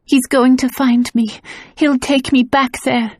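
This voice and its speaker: A woman's voice: high-pitched, flat delivery, a little slow, no echo, studio quality, voice pushed up. A woman voicing a frightened young woman just woken from a nightmare, breathless and pleading through tears. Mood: tearful.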